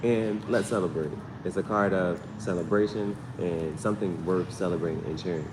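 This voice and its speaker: A man's voice: deep voice, moderate pace. A man giving a casual live tarot reading, conversational and slangy, often repeating his phrases as he thinks aloud. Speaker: tarot reader, channel host. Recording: echoey room with loud background noise.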